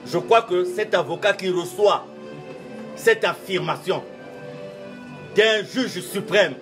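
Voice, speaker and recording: A man whose first language is not French, giving a formal interview statement as a church official, speaking in a measured, oratorical way with repeated emphasis. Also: sits high, fairly bright tone, soft background music.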